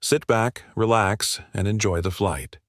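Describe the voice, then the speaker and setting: A man voicing a smooth, practiced airline captain giving a reassuring cabin announcement in a story. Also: fairly low pitch, measured pace, fairly bright tone, no room sound, clean studio sound. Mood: calm, reassuring.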